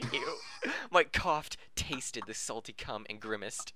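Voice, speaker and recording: A man's voice: mid-range voice, expressive, slow pace, pushed and bright. A man reading a story aloud as an amateur podcast host, with joking asides and corrections of his own slips. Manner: breaks off to laugh, joke and correct misreadings.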